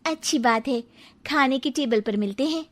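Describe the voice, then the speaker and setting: A woman's voice: sits high, normal speed. A woman narrating a scripted story as its storyteller.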